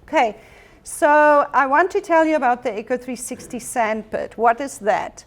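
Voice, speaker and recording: A woman's voice: high-pitched, normal speed. Earnest woman presenter, Afrikaans first language. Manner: earnest, encouraging, with frequent ums. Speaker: educational technology presenter. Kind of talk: workshop presentation.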